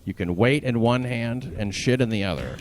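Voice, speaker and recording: A man's voice: medium pitch, moderate pace, slight background noise. A man hosting a casual podcast, rambling and teasing his guest with jokes. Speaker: podcast host. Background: game music playing over the talk.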